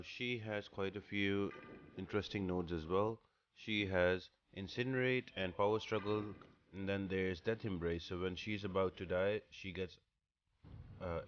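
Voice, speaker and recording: A man's voice: low-pitched, dull audio, slight background noise. A man hosting a game guide, explaining tactics in casual walkthrough commentary. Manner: explanatory, instructional. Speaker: gaming guide host.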